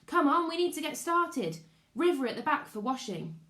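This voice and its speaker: Lady doing a bossy young boy from a storybook, high and emphatic, echoey room. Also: very animated, fairly bright tone. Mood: calling out. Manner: brisk, emphatic, raised delivery.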